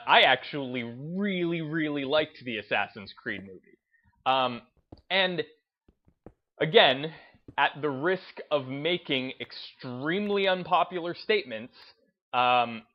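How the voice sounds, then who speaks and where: A man gives off-the-cuff commentary as a gaming video host, taking his time at an unhurried pace. He is reflective and halting, often circling back to reword a point. The sound is a little dull, with music playing behind him. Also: mid-range voice. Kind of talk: unscripted video commentary over gameplay.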